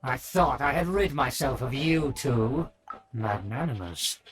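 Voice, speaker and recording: A man's voice: medium pitch, animated, unhurried, a crowd in the background, lightly acted. A man voicing a pompous, haughty aristocrat with formal, archaic speech in a video game. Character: pompous, haughty aristocratic nobleman, easily flattered. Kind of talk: scripted video game character acting.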